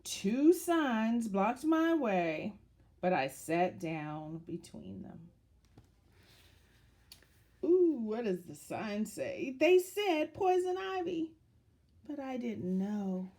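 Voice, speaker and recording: A woman reading a children's picture book aloud in a dramatic, deliberate storytelling manner, as if to young listeners. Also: fairly high pitch, sing-song, a little mellow.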